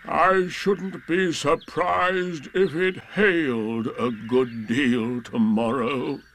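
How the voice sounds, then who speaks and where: A man voicing a gloomy old donkey, pessimistic and ponderous, drawing out each word very slowly in a storybook reading. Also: a little high, steady tone, a bit bright, slight background noise, pitched above the performer's own voice, exaggerated voice. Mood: glum. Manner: very slow, drawn-out, gloomy.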